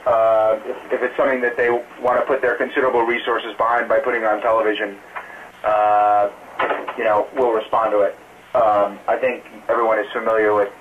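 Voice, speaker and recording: A man on a distant, band-limited conference call line with some hiss. He fields press questions as a campaign spokesman. His delivery is careful and halting, full of hedges. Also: fairly low pitch, narrow, tinny audio. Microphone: telephone conference call.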